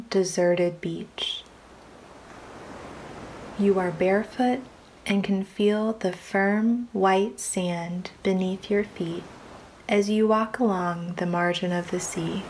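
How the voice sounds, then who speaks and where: A woman reading a scripted guided imagery meditation in a calm, soothing, measured manner with long pauses. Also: mid-range voice, a little hiss or hum, rough recording.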